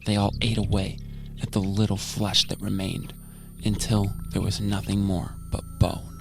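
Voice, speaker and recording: A man playing a grim, haunted researcher who narrates a horror radio drama in a measured, brooding way. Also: on the low side, monotone, creaky, over music. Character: grim male experiment researcher recounting horrors, cold and haunted. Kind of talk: dramatic first-person scripted narration.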